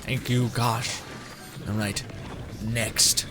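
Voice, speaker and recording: A young male streamer talks loosely through his play at a middling pitch. He rambles, cuts himself off and swears casually, with game music clearly heard behind him. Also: little pitch movement, extremely slow. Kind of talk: casual gameplay commentary.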